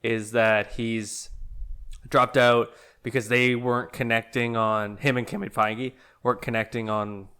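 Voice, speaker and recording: Smooth-voiced man co-hosting a podcast. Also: medium pitch. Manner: relaxed, teasing, halting when reading out news. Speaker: podcast co-host. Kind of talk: casual chat.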